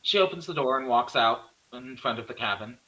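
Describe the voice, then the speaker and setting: A man's voice: mid-range voice, animated, brisk, pushed and bright, muffled recording. A man narrating stage directions in an amateur screenplay table read, sometimes stumbling and correcting himself.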